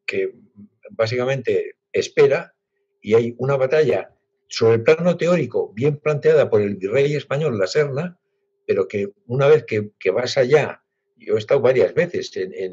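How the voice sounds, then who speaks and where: Husky Spanish man on a video call. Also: mid-range voice, a little mellow. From Spain, Basque Country. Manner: reflective, halting with frequent restarts. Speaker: guest author. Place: home library with bookshelves. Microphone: laptop webcam on video call. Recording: dull lo-fi video call audio.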